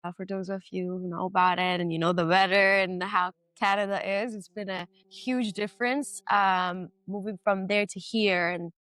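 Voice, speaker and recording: A woman's voice: medium pitch, fairly even intonation, moderate pace, few pauses, fairly bright tone, clean tone, dry recording. A woman in her twenties, originally from Iran, talking casually and candidly as a guest about her life, with frequent fillers and restarts. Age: 20s. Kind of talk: casual conversation.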